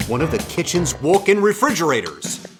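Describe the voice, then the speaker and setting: A man's voice: on the high side, wildly swooping pitch, moderate pace, music in the background. An actor playing a grandiose, theatrically self-assured male agent with an effusive manner in an audio drama. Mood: proud, dramatic.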